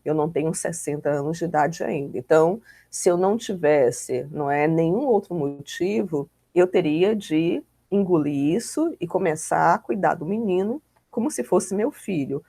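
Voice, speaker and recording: A Brazilian woman lectures in a didactic but conversational manner, with frequent tag questions. Her voice is mellow and dark, with a gravelly creak running through it. The sound is close and dry. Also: deep voice, no room sound. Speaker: law lecturer. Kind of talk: informal online lecture explaining with examples.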